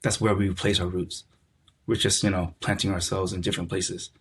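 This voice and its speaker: A middle-aged man from California, a podcast guest talking in a relaxed, reflective way and often repeating words as he searches for them. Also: sits low, moderate pace, husky. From California, USA. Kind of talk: casual conversational interview.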